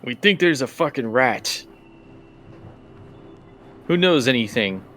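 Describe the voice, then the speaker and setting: A man's voice: medium pitch, lively intonation, takes it slowly, sharp tone, a little hiss or hum, pitched above the performer's own voice. A man voicing a menacing, suspicious, drunk gangster in a tabletop game.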